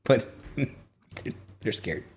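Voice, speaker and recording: A man acting as game master, narrating and refereeing a tabletop fantasy game in an informal, halting way.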